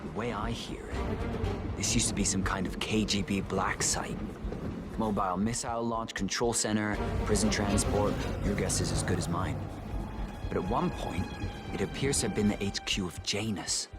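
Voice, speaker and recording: Guy acting a jaded soldier type in a game, lowish voice, dry sarcasm, loud music under it. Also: normal speed, lightly acted. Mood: matter-of-fact. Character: world-weary, sardonic male guard, hard-drinking veteran. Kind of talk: video game dramatic dialogue.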